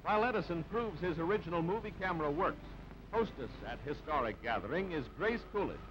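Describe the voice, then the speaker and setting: A man's voice: a little high, lively intonation, fairly quick, noisy background. A man reading scripted newsreel narration in a clipped, punchy, dramatic way on an old soundtrack. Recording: dull band-limited old newsreel soundtrack. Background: music.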